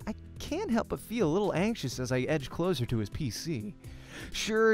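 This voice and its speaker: A man's voice: a little high, a little mellow. A man doing a let's play, reading a visual novel's narration aloud with expression. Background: faint music.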